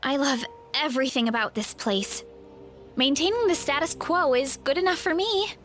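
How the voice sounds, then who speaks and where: A woman voicing a gentle, hesitant young heroine who admits her fears and gathers her courage. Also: high-pitched, moderate pace, faint music behind. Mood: timid.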